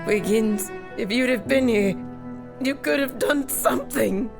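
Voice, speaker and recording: A woman playing a distraught, impassioned daughter in an audio drama, urgent and pleading, with a creaky edge to her voice. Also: a little high, a little slow, over music. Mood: angry. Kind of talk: emotional audio drama acting.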